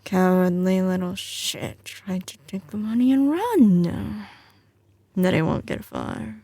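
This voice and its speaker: A slow-spoken mafia boss girlfriend, acted by a woman, with a light Southern US drawl. She is teasing and doting yet ruthless, sliding between command and tenderness in a close, dry ASMR audio roleplay. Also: mid-range voice, lively intonation, dark tone, dry recording. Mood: contemptuous, confiding. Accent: slight Southern US drawl. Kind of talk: ASMR audio roleplay, intimate scripted acting.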